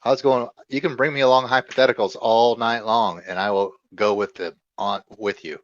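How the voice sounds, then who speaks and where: A man's voice: mid-range voice, fairly even intonation, a little fast, no room sound. A man in a casual voice-chat debate, laid-back and jokey, trying to steer things back to the point. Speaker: voice-chat participant. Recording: dull, dry lo-fi voice chat.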